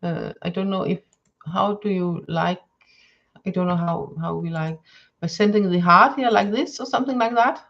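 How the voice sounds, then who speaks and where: A Danish woman with a Danish accent and Danish as her first language, reading tarot cards on a live stream in a rambling, hesitant way with many fillers, giving soft spiritual guidance. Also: fairly low pitch, normal speed, gravelly, muffled recording. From Denmark. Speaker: tarot reader.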